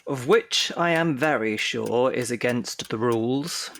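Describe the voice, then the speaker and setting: Man playing a slow, stern Victorian father. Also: mid-range voice, fairly bright tone. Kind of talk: audio drama acting.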